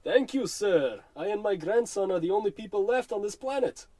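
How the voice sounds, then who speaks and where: A man's voice: high-pitched, animated, moderate pace, dark tone, no echo. An actor playing a solemn, polite old Russian grandfather with a Russian accent, telling his tale grandly in a radio comedy. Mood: mournful, solemn.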